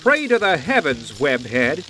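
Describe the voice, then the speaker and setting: A boastful insect supervillain played by a man from a superhero radio play. He taunts theatrically in rhyme, with a high voice that swings widely in pitch. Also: wildly swooping pitch, unhurried, pushed and bright, faint music behind, exaggerated voice. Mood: gloating, menacing. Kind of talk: superhero audio drama villain acting.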